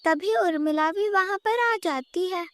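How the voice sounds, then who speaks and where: A woman's voice: very high voice, unhurried. A woman narrating a scripted children's cartoon story.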